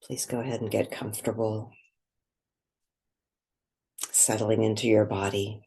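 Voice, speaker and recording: A soft-spoken woman leading a guided meditation, pausing between short phrases. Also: a bass voice, flat delivery, breathy edge.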